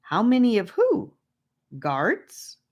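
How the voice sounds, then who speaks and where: A serious boy of ten standing guard in a fantasy storybook, played by a woman. He sounds cautious and measured, slightly high, with varied pitch. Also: wildly swooping pitch, a little mellow, exaggerated voice.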